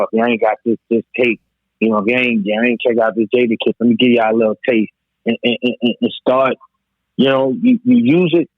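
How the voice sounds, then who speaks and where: Husky, phone-line man in casual debate. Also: lowish voice, no echo. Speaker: DJ and podcast panelist. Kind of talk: casual panel discussion about hip-hop. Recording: thin, phone-like call audio.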